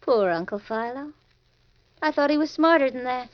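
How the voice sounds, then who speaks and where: A woman's voice: high-pitched, expressive, moderate pace. An actress playing a scheming, money-hungry young woman with a babyish voice and a coy, coolly mocking manner in an old-time radio drama. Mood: coolly wary. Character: scheming young femme fatale, sweetly menacing, money-hungry. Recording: dull vintage radio recording.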